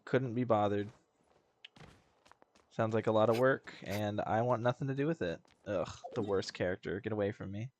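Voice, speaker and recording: A man's voice: lowish voice, normal speed, a little mellow, lo-fi sound. Sarcastic man commenting on a game. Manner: bored, sarcastic, dismissive asides. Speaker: gaming streamer. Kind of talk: casual let's-play commentary.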